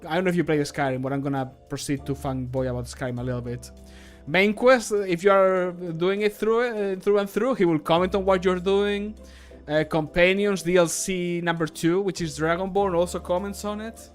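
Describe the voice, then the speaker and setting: A man's voice: sits high, steady tone, normal speed. A man streaming casually as he works, chatting in an informal, jokey, sweary way. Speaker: streamer and amateur voice actor. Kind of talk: casual livestream chat while working. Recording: music in the background. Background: music.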